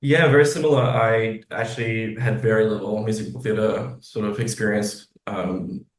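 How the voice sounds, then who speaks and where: A man's voice: on the low side, fairly even intonation, normal speed. An Australian man talking conversationally as an interview guest. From Australia, grew up in Sydney. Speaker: guest, composer. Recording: roomy, echoey sound.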